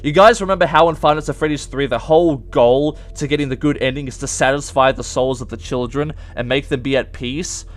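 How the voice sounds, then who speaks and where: Young male YouTube host, medium pitch, casual and emphatic explainer talking straight to viewers. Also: a little fast, few pauses. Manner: emphatic, addresses viewers directly. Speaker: YouTube game theory host.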